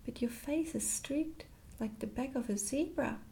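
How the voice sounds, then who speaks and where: A woman voicing a very old, kindly, gently chiding lady in a soft, whispered ASMR storybook reading. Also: high-pitched, unhurried, gravelly. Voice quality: sweet, soft.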